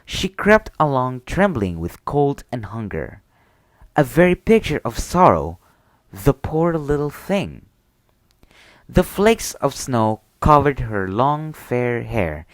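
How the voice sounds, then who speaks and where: Guy doing soft intimate asmr bedtime stuff, lilting and expressive, really close dry sound, bit whispery. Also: medium pitch, no room sound. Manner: soft, intimate, soothing delivery, partly whispered. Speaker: ASMR storyteller. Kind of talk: ASMR bedtime roleplay and storybook reading.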